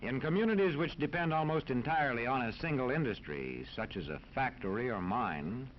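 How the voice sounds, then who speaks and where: An American man narrating a scripted 1940s educational film in a formal, authoritative, measured manner. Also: mid-range voice, expressive. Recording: dull 1940s film soundtrack, faint noise.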